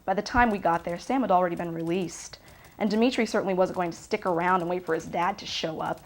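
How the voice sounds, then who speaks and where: A woman's voice: fairly low pitch, fast talker, close to an everyday voice. A woman playing a gossipy, wryly indignant witness, telling of a family quarrel in a conversational monologue. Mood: matter-of-fact, disapproving. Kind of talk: conversational monologue told as testimony.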